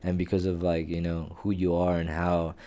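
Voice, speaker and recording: A man's voice: deep voice, completely flat delivery, moderate pace, dark tone. A young man co-hosting a podcast, chatting casually and rambling with lots of slang like "bro" and "dog". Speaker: podcast co-host. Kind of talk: casual chat.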